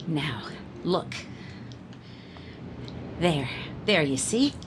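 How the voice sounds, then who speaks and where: An actress playing a frightened young wife trapped with a gunman, breathless and quick to panic, in 1950s radio drama style. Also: deep voice, big pitch swings, very noisy, heavily acted. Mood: urgent hushed.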